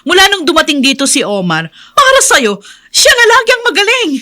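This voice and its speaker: A bright-voiced Filipino wife and mother in a radio drama. She is protective and emotive, with lively pitch swings and a close, dry sound. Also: fairly quick, no room sound, full character voice. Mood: pleading, worried. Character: suspicious, protective wife and mother named Violeta.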